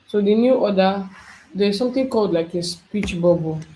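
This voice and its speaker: Mellow-voiced tutorial instructor. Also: mid-range voice, moderate pace, poor audio. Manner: explains step by step, thinking aloud. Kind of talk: screen-share software tutorial.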